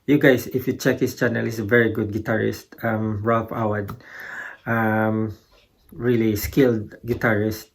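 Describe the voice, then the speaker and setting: A man with a gravelly, fry-edged voice speaks very slowly in an unscripted vlog style. He sounds modest and a little halting, pausing as he addresses his viewers about gear. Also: lowish voice, level tone. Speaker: guitar gear video creator. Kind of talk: casual unscripted vlog talk.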